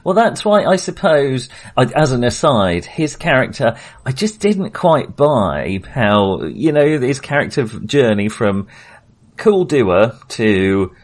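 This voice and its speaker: A man's voice: medium pitch, very animated, slow. A man co-hosting a film podcast, chatting casually and hesitantly as he thinks his opinions through aloud.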